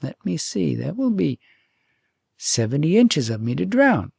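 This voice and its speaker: A man's voice: a little high, big pitch swings, a little slow, creaky, darkish tone, slightly rough, no echo, studio quality, voice pushed up, full character voice. Man playing a musing, nonchalant young prince. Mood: wistful musing. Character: lovelorn, self-sacrificing young fairytale prince, nonchalant. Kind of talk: storybook character acting.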